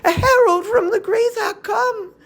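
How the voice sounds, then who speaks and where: A woman's voice: extremely high pitch, unhurried, creaky, pitched above the performer's own voice, full character voice. A woman playing frightened captive women in a verse tragedy, with a fervent, trembling, theatrical delivery full of desperate pleading. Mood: fearful dread. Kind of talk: classical verse tragedy, declaimed.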